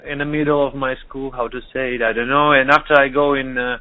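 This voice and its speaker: A man from Russia with a Russian accent, speaking halting English as a DJ being interviewed, pausing to search for words. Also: medium pitch, little pitch movement. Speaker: DJ and producer. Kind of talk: interview over the phone. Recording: tinny phone line.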